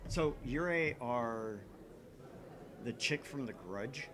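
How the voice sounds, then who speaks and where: A man's voice: expressive, slow. A man from the American South bantering casually as a game streamer. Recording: rumbly background noise.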